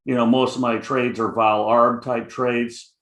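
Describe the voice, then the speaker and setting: A man's voice: medium pitch, little pitch movement, a little slow, a bit bright, roomy sound. A middle-aged man with a Chicago accent, a guest options trader talking casually, rambling with many fillers. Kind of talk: casual interview chat.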